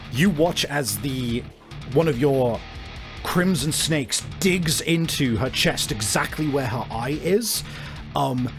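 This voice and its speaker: Man narrating a tabletop game over music. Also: mid-range voice. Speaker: game master and podcast host. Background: background music.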